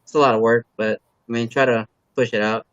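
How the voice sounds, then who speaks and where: Male guest from LA, native Khmer speaker, mid-pitched, loose and rambling, dry close sound. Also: fairly even intonation, no room sound, lo-fi sound. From Los Angeles, USA. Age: adult parent. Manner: halting, filler-heavy, laid-back. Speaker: guest, car builder and YouTuber. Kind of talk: casual interview chat.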